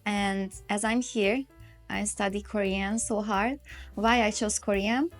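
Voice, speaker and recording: A woman in her late twenties from Hungary, speaking English with a Hungarian accent in a lively, chatty interview, full of "like" fillers. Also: medium pitch, unhurried, faint music behind. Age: late 20s. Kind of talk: casual interview chat.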